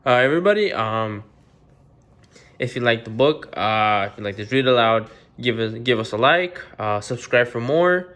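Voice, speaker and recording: A man's voice: mid-range voice, expressive, normal speed, a bit bright. A man hosting a children's read-aloud, narrating a picture book and chatting informally to viewers.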